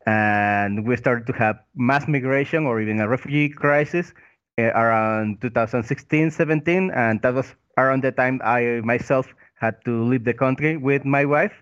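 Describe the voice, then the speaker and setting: A man from Venezuela with a smooth, resonant voice, answering a podcast host's questions. He explains things in a measured way, and his audio sounds dull and slightly lo-fi. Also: medium pitch, a bit bright. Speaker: guest. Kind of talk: interview answers.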